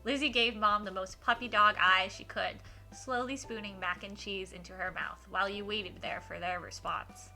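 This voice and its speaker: A woman livestreaming a game, switching between informal chat with viewers and expressive read-aloud in a giggly, animated manner. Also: mid-range voice, moderate pace, soft background music, rough recording. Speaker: livestreamer hosting a visual novel playthrough.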